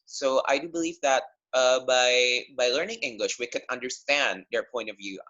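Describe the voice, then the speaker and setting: A young Indonesian-accented man gives an online talk in a bright, forward voice. He speaks earnestly, stopping and restarting phrases. The sound is muffled and lo-fi, like computer audio on a call. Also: medium pitch, normal speed. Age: 20s. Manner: earnest, with frequent restarts and "you know". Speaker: webinar speaker. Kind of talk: presentation over a video call.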